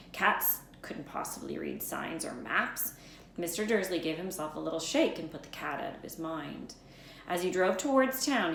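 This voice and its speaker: Female storyteller, slightly low register, expressive and emphatic read-aloud delivery, roomy sound with light background noise. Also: creaky. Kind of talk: expressive scripted storybook narration. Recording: echoey room, faint background noise.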